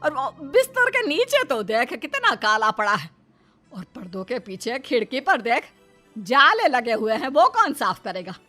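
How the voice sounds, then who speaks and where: A woman's voice: high-pitched, sing-song, unhurried, pushed and bright, soft background music, heavily acted. A woman voicing a nagging, boastful mother-in-law who scolds and taunts in a family cartoon. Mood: scornful. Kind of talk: Hindi family cartoon voice acting.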